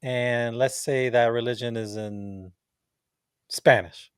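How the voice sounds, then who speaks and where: A man's voice: medium pitch, fairly even intonation, takes it slowly, clear, resonant tone, dry recording. Casual man interviewing, dry close sound. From the Levant. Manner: informal, often says man and bro. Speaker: podcast interviewer.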